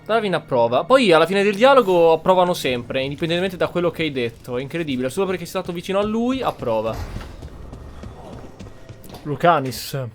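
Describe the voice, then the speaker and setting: A man's voice: fairly high pitch, lively intonation, fast talker, soft background music. A man giving casual commentary as he hosts a video game playthrough. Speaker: gamer, channel host.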